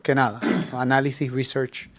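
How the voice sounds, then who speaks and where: A middle-aged man from New York, raised by Cuban parents, speaks as a radio guest at a mid pitch. He explains industrial real estate in a hesitant way and fills his pauses with "eh". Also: little pitch movement. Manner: hesitant, lots of fillers. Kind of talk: radio interview.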